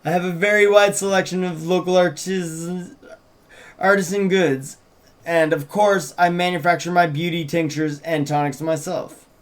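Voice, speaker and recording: Man playing gushing shopkeeper Cass, higher-pitched. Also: fairly even intonation, moderate pace, natural voice. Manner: effusive, salesy. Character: Cass, gushing small-town shopkeeper and fortune teller.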